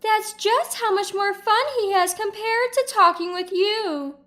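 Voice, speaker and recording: Lady doing a bossy older mother in law for a family drama story, really high voice, scolding and snooty. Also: unhurried, a bit bright, faint music behind. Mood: indignant. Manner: accusing, indignant, contemptuous.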